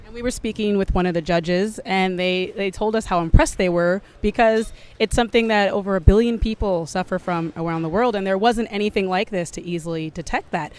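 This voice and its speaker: Clear-voiced woman conducting a TV interview. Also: mid-range voice, brisk, hardly any pauses, darkish tone. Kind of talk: on-camera event interview.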